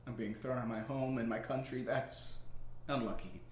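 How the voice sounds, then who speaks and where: An actor playing a young court jester in a radio drama, wry and self-mocking, his voice swinging from quiet to loud. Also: mid-range voice, fairly even intonation, moderate pace, natural voice. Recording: echoey room with faint noise.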